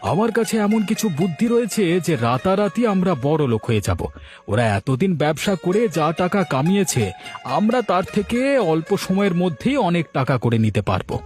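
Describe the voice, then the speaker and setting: Man voicing an indignant higher-pitched village schemer. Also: very animated, normal speed, a little mellow, soft background music. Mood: scheming, boastful. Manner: indignant, boastful, emphatic. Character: aggrieved, envious village man plotting a rival food stall. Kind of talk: animated moral story dialogue.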